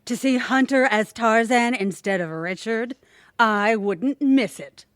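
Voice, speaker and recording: An actress playing a haughty, spiteful rich rival with a condescending, cutting manner in an audio comedy drama. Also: female voice, a little high, takes it slowly, noisy background. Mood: icy, smug.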